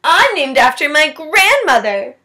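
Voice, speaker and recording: Woman putting on a high, snooty little schoolgirl. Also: very animated, measured pace, sharp tone, exaggerated voice. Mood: mocking.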